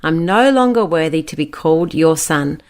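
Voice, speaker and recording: A woman's voice: low voice, expressive, moderate pace. A woman narrating a scripted children's Bible story and acting out the quoted speech. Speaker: storyteller, a mother.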